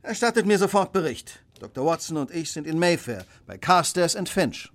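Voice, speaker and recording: An actor playing a brisk, self-assured detective who speaks with precise authority in a radio play. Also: male voice, on the high side, sing-song, soft background music. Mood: confident, brisk. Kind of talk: German radio play acting.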